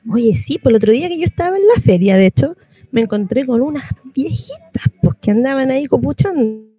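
A woman's voice: sits high, animated, brisk, warm tone, breathy edge, faint music behind. A Chilean woman hosting a radio show in a colloquial, chatty style. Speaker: radio host. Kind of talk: casual radio chat.

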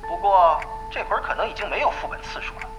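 Fawning male underling, lively pitch. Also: over music. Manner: obsequious, hurried agreeing. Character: fawning, nervous male underling flattering his boss. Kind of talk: Chinese audio drama acting.

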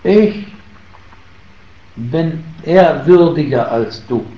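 A man giving a religious lesson in German, emphatic on key points and pausing with fillers. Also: on the high side, lively intonation, slight background noise. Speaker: Islamic teacher. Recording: roomy, muffled, faint ambience.

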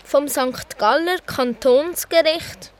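An actress playing a formal, measured announcer reading a court verdict in a solemn radio drama. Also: female voice, high voice, background noise. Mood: solemn, official. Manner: measured, official. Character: formal female announcer reading out a 1938 death sentence.

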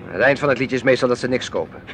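An actor playing a grumbling, old-fashioned middle-aged husband in a radio drama, with a complaining, sardonic, dismissive manner. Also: male voice, medium pitch, fast talker, a bit bright, a little hiss or hum, close to an everyday voice. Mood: exasperated.

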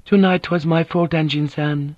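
A man reading a story aloud, voicing a proud, wounded noblewoman who speaks calmly and bitterly. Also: deep voice, normal speed, no room sound, highs cut off, voice pushed up, close to an everyday voice. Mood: resentful. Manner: calm, controlled, bitter.

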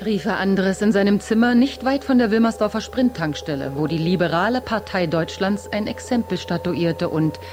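An actress playing a composed, wry storyteller who narrates matter-of-factly in a radio play. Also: female voice, medium pitch, animated, moderate pace, few pauses, music in the background, natural voice.

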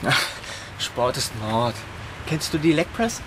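A man's voice: mid-range voice, big pitch swings, slow pace, noisy background. An actor playing an easygoing sporty young man who shrugs off a sore leg in a radio play. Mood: casual.

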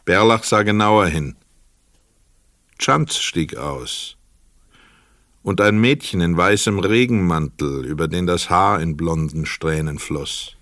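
A man with a Swiss German accent narrating a radio play in a measured, sober way. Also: low voice, a bit bright, dry recording, clean studio sound.

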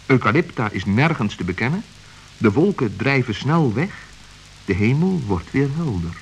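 A man narrating a children's radio play in a calm, even storytelling manner. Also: medium pitch, moderate pace, a little hiss or hum, rough recording.

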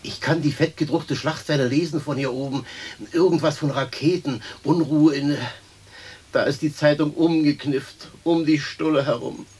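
An actor playing an earthy, hungry grumbler in a radio play, coarse and plain-spoken. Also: male voice, fairly high pitch, steady tone, normal speed, a little mellow, breathy edge. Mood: yearning. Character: hungry, grumbling, earthy common man stuck on a branch. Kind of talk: German radio play acting.

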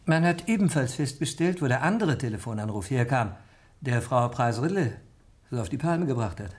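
An actor playing a genial, teasing village doctor in a radio drama, bantering yet measured in his delivery. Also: medium pitch, expressive, close to an everyday voice. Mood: measured, serious.

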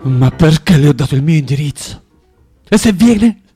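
A man's voice: on the high side, expressive, moderate pace, music in the background, close to an everyday voice. An actor playing a bitter, tormented man in a radio drama, swinging between menacing mutters and dramatic outbursts. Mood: anguished, self-loathing. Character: bitter, tormented man, cruel then self-loathing. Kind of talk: theatrical radio drama acting.

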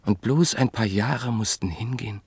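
A man narrating a classic literary radio play in a measured, reflective way that sometimes sinks to a near whisper. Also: lowish voice, gravelly, a little mellow, slight background noise.

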